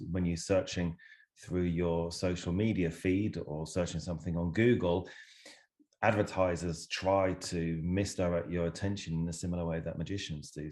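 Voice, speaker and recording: A man's voice: low-pitched, a little mellow. A man from Switzerland, a researcher, explaining things thoughtfully in a casual interview over a video call, with hedging fillers. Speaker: psychology researcher and guest.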